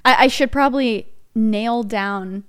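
A woman's voice: on the high side, a little slow, clear, resonant tone. Giggly young woman with a slight Southern lilt. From Texas, USA. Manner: giggly, rambling, goes off on tangents. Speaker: podcast co-host. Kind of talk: casual chat.

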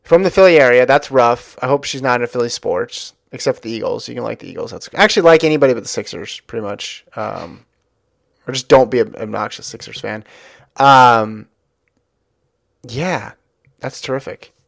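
Chatty man with a gravelly voice. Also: medium pitch, moderate pace, fairly bright tone, dry recording, clean studio sound. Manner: chatty, rambling, upbeat. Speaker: podcast host. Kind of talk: casual chat.

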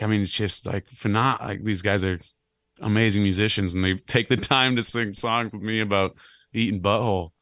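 A man in his thirties with a low, slightly raspy voice, chatting casually on a podcast as a guest, rambling and self-deprecating. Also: dry recording, clean studio sound. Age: 30s. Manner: rambling, self-deprecating, animated when joking. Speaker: clown and musician guest.

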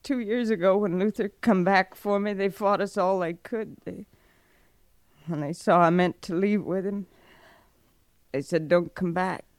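An actress playing a grieving, bitter and stubborn young frontier widow in a radio western, with a drained, dramatic delivery. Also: female voice, medium pitch, measured pace, no room sound, studio quality, lightly acted. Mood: bitter, resolute. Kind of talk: 1950s radio western acting.

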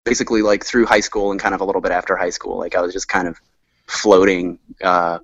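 A man's voice: low voice, little pitch movement, fast, a bit bright, no echo, muffled recording. An American man in his thirties chatting casually as a podcast guest, rambling and self-deprecating as he talks. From rural southern Maryland, United States. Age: 30s. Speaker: podcast guest, touring musician and recording engineer. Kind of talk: casual chat.

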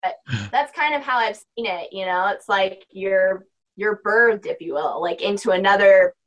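A woman's voice: medium pitch, normal speed, highs cut off. Chatty young woman, rambling. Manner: rambling, heavy use of "like" and "you know". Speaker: podcast guest, spiritual practitioner. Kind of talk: casual interview chat.

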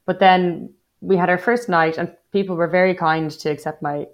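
A woman's voice: fairly low pitch, fairly even intonation, normal speed. Reflective Dublin woman in her thirties. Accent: Dublin Irish. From Ireland. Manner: reflective, self-deprecating, frequent "like" fillers. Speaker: guest poet. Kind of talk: casual interview conversation.

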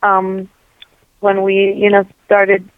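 A woman's voice: medium pitch, monotone, takes it slowly, no room sound. Earnest rambling woman on a phone line. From New York and New Jersey. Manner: earnest, rambling, full of "you know" fillers. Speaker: guest. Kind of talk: casual testimony interview. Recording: dry tinny phone-like line.

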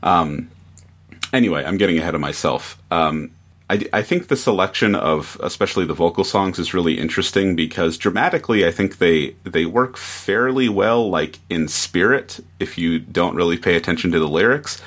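A man giving a measured, analytical take as a guest in a casual podcast discussion. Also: low-pitched, animated, a bit bright. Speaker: guest reviewer.

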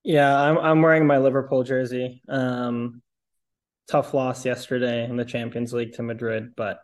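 A man's voice: mid-range voice, flat delivery, moderate pace. A young man from Connecticut, a podcast guest speaking casually and hesitantly with many uh fillers. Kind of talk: casual interview chat.

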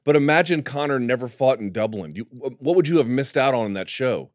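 A man hosting a casual talk show about mixed martial arts, with an animated, emphatic way of talking. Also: medium pitch, a little fast, dry recording, studio quality. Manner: animated, emphatic, calls co-host dude. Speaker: podcast host.

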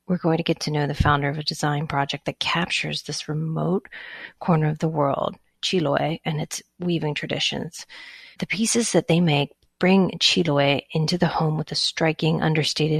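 A woman's voice: low-pitched, gravelly, slightly rough, dry recording. A woman hosting an interview show, reading a scripted introduction and then chatting with enthusiasm. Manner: enthusiastic, gushing. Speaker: podcast host.

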